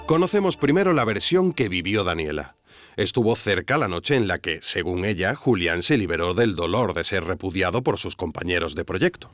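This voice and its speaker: A man's voice: deep voice, big pitch swings, normal speed, a bit bright, no room sound, faint music behind. A man playing an earnest documentary podcast host, narrating in a dramatic, rhetorical scripted style. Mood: solemn, intrigued.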